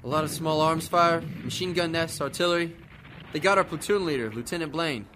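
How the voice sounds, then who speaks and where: A man's voice: a little high, brisk, close to an everyday voice. A man playing a grim Marine soldier briefing his comrades at the front in a war radio drama. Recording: noisy gunfire and artillery behind the voice.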